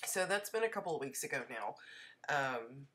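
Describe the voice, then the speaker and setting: A woman's voice: extremely low pitch, big pitch swings, normal speed, a bit bright. A woman with a stuffy head cold narrating her homestead vlog in a chatty voice-over, full of ums. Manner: chatty, friendly, many ums. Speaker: homestead vlogger.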